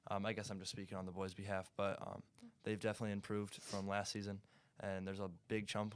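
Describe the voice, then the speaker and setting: Teen boy, low flat voice. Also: clean tone, no echo. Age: teens. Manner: measured, hesitant with ums. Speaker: high school runner.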